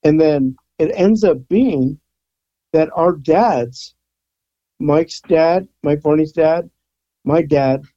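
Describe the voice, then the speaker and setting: A man's voice: fairly high pitch, slow, pauses often, dark tone, dry recording, muffled recording. A man in his sixties, a rock photographer from the Bay Area, chatting casually as an interview guest and rambling with fillers and restarts. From San Francisco Bay Area. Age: 60s.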